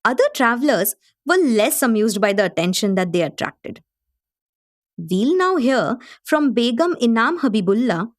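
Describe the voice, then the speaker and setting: A gracious Indian hostess, presents a literary gathering in a radio play. Her voice is slightly high and very animated, with articulate, engaged scripted delivery. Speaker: host.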